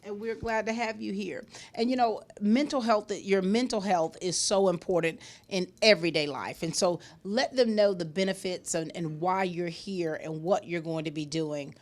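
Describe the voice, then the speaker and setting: A woman's voice: fairly low pitch, moderate pace, hardly any pauses, a little mellow. A woman hosting a local TV interview, welcoming her guest and nodding along with frequent mm-hmms. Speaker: host.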